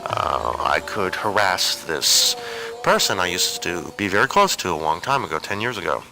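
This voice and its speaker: Low-voiced man telling rambling stories. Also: wildly swooping pitch, moderate pace, gravelly, bright, forceful tone, rough recording. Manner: hesitant, digressive, wry. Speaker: storyteller on an audio zine. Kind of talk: informal personal monologue, rambling anecdotes.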